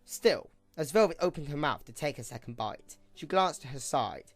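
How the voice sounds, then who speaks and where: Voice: medium pitch, expressive, moderate pace, soft background music. A narrator reading scripted third-person story narration for an amateur audio drama.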